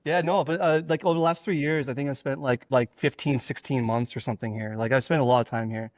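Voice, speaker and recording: A man's voice: medium pitch, steady tone, fast talker, no room sound. A man chatting casually on a podcast, laid-back and joking, with many fillers. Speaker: podcast host.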